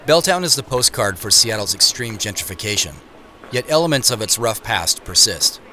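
A man's voice: lowish voice, expressive, moderate pace, sharp tone, a little hiss or hum. An actor playing a harried, self-conscious man in an audio drama, speaking in a wry, confiding way. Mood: wry, reflective. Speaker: narrator and lead actor. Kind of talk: first-person audio drama narration and acting. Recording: produced audio drama with music, street ambience.

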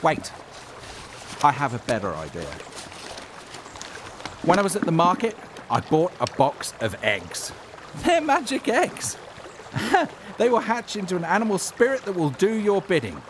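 High-pitched male nervous guide, hugely animated, whiny, stammering, eager to please, comedic audio drama. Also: measured pace, pauses often, slight background noise, full character voice. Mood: eager explaining. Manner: whiny, stammering, rambling excuses. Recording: clear outdoor water ambience behind.

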